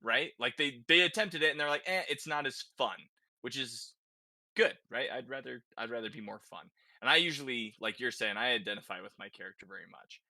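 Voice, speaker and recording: A man's voice: mid-range voice, a little fast, bright, forceful tone. A man co-hosting a casual gaming podcast, talking conversationally and often checking in with "right?" as he explains. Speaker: podcast host.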